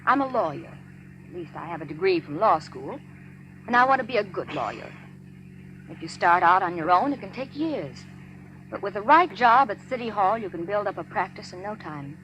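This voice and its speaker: A woman's voice: mid-range voice, very animated, a bit bright, dull audio. An actress playing an ambitious, earnest young woman lawyer in a radio crime drama, wary and questioning. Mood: earnest, insistent. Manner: wary, questioning, quick to justify herself. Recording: slightly noisy old radio recording.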